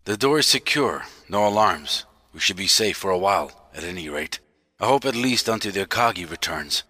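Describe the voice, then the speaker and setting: A man's voice: sits low, lively intonation, brisk, heavily acted. A man playing a formal, earnest military officer, grimly pragmatic, in a musical sci-fi audio drama. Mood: subdued. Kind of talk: musical audio drama acting, partly sung. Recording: loud music behind the voice.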